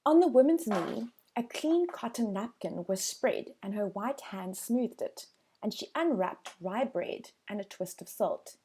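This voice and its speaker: Slow-paced woman reading literary fiction. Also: mid-range voice, expressive, dark tone, husky. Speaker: audiobook narrator. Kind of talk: literary scripted narration.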